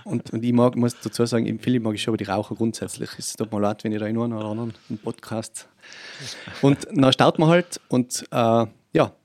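A man's voice: medium pitch, steady tone, a little fast, a little mellow. A man with a Tyrolean Austrian accent chatting as a musician guest, telling anecdotes in a casual way. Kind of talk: casual anecdotal interview chat.

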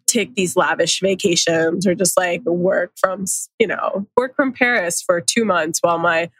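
A woman in her thirties with a light Pakistani accent, chatting candidly and laughing often. Her voice sits a bit low, and the recording is dry and close. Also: fairly bright tone, dry recording, low-quality recording. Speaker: podcast guest. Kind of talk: casual conversation.

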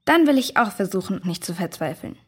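A woman's voice: mid-range voice, lively intonation, fairly quick, natural voice. A woman playing a curious, earnest young girl in a children's audio drama, with a questioning way of talking.

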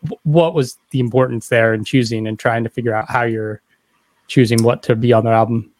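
A man hosting a casual interview, asking rambling, halting questions in a conversational way. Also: medium pitch, fairly even intonation, a little mellow. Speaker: podcast interviewer.

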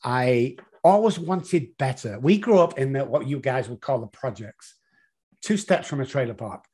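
A middle-aged man with a Manchester accent, a guest telling his life story in an animated, rambling way with many fillers. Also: mid-range voice, normal speed, breathy edge. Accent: Mancunian English. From Manchester, England. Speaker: guest, addiction consultant. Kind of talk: conversational storytelling.

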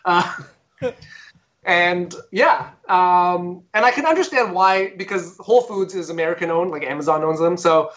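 A man's voice: sits high, normal speed, a bit bright, muffled recording. A man co-hosting a podcast, talking in a rambling, sarcastic and sweary way. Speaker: podcast co-host. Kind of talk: casual opinionated chat.

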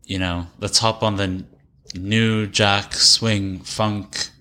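A man's voice: on the low side, level tone, very slow, bright, forceful tone. A man co-hosting a casual music discussion in a hedging, conversational manner. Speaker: podcast co-host.

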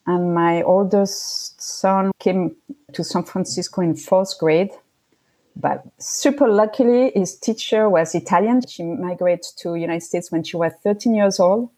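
Guest: middle-aged woman from Switzerland, low-ish voice, thoughtful and halting. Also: mellow, rough recording. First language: French. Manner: thoughtful, frequent restarts and fillers. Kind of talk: casual interview conversation.

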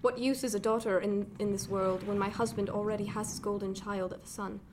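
A woman playing a middle-aged, cold and imperious 18th-century gentlewoman in a radio drama, speaking formally and bitingly. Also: medium pitch, level tone, brisk, lightly acted. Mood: bitter, commanding. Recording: clear background noise, ship ambience.

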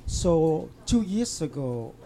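A man recounts a long story in an interview, his voice mellow and warm. He speaks in halting, broken English, full of fillers and restarts. A faint hiss sits under the recording. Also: on the high side, big pitch swings, rough recording. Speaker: interview guest. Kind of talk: casual storytelling.

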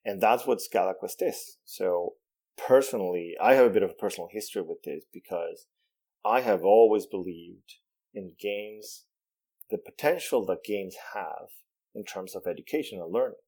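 A man's voice: sits low, moderate pace, darkish tone. A man from Venezuela whose first language is Spanish, a game developer explaining his project in a casual interview, thoughtful with frequent restarts. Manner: thoughtful, many restarts and fillers.

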